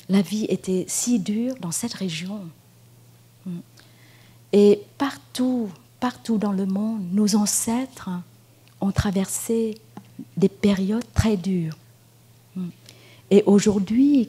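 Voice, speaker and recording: A Buddhist nun from Vietnam speaking French with a Vietnamese accent, giving a gentle, unhurried dharma talk at a retreat, with pauses and fillers. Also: female voice, medium pitch, slightly rough. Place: meditation hall at a retreat.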